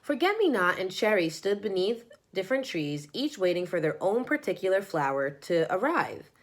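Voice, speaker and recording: A woman reading a children's storybook aloud as narrator, in an expressive storytelling manner. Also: medium pitch, moderate pace. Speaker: storybook reader.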